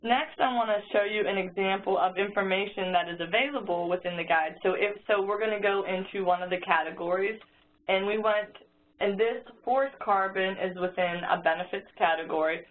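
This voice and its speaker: A woman's voice: mid-range voice, brisk. A woman presents over a conference call and talks listeners through a resource one step at a time. Her voice comes through a thin, tinny phone line with a faint hiss behind it. She often restarts her sentences as she goes. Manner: explains step by step, with frequent restarts and fillers.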